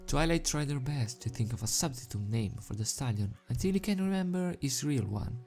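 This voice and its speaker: A man who is not a native English speaker, reading and commenting as an amateur in a halting, giggly, self-deprecating way. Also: mid-range voice, animated, darkish tone. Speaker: amateur fan fiction reader. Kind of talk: story reading with casual commentary. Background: background music.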